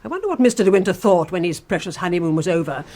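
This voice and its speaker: An actress playing an obsessive, menacing housekeeper in a radio drama, sneering and insistently coaxing. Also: female voice, lowish voice, animated, racing, mellow, natural voice. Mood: scornful, bitter. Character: obsessive, menacing housekeeper, devoted to her dead mistress.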